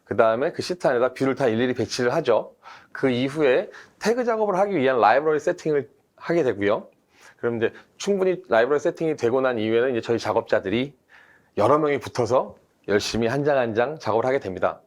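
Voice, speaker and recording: A man's voice: mid-range voice, lively intonation, fast. A man, a design engineer, explaining a drafting workflow step by step in a webinar, with frequent fillers.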